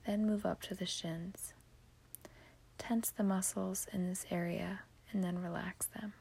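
Slow whispering woman, breathy and creaky. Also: medium pitch, fairly even intonation. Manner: slow, hushed, soothing. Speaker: podcast host. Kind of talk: guided sleep meditation read in a whisper.

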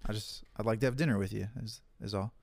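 A relaxed man in his thirties talks in an easygoing, chatty way, laughing often. His voice sits a little low, and the recording is close and dry with no room sound. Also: fast, creaky, no room sound. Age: 30s. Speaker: podcast guest, online campus pastor. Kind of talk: casual podcast chat.

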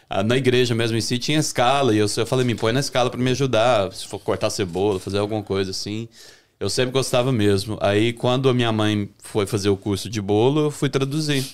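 A young Brazilian man with a Brazilian Portuguese accent, a chef chatting as a podcast guest in a relaxed way, often restarting his sentences. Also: mid-range voice. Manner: relaxed, talks with many restarts and self-corrections. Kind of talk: casual interview chat.

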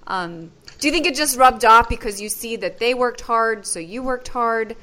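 A woman's voice: a little high, normal speed, pushed and bright. A woman hosting a casual interview, encouraging her guest with somewhat hesitant, conversational phrasing. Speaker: interviewer, host.

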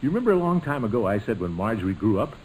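An actor playing a hearty, pompous middle-aged uncle in 1940s radio comedy, blustery and coaxing. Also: male voice, fairly low pitch, sing-song, very fast, a little mellow. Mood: excited. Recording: noisy old-time radio recording.

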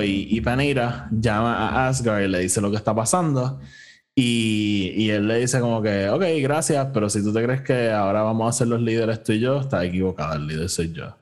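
A man's voice: mid-range voice, moderate pace. A Puerto Rican man co-hosting a podcast, casually recapping a story and slipping between Spanish and English. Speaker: podcast co-host.

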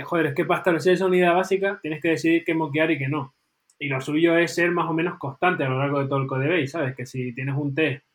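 A man chatting casually as a podcast co-host, animated and full of tag questions. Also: fairly high pitch. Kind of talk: casual chat.

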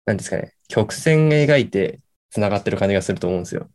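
A man playing a late-night radio host who rambles haltingly in casual chat, in an amateur radio drama. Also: lowish voice, animated, a little mellow, close to an everyday voice. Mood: musing, rambling. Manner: halting, filler-heavy, then bursts of words.

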